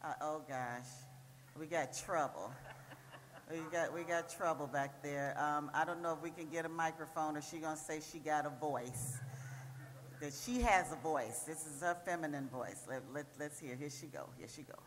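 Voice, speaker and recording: A woman moderating a church panel, playful and quick with amens and jokes. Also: low voice. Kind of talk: moderating with affirmations. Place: large church hall. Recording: roomy hall with faint hum.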